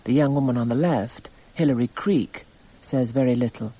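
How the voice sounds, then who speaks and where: An actor playing a detached, formal narrator who itemises evidence in clipped phrases for a radio drama. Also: male voice, medium pitch, normal speed, mellow, slightly rough, lightly acted. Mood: detached, descriptive. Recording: slightly muffled radio broadcast.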